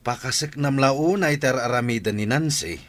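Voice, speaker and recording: A man's voice: mid-range voice, normal speed, natural voice. A man playing a stern, resentful husband in an Ilocano radio drama, scolding, with an Ilocano accent. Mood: irritated.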